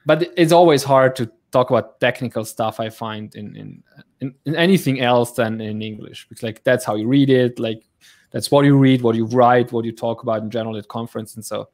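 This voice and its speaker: A man's voice: medium pitch, brisk, darkish tone. German-accented man chatting as a guest. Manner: lots of fillers like "like", searching for words. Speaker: guest software developer. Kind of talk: casual interview chat.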